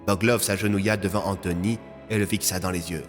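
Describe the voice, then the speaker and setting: A man's voice: low voice, fairly even intonation, fairly quick, soft background music. A man narrating a scripted audio story in a dramatic style.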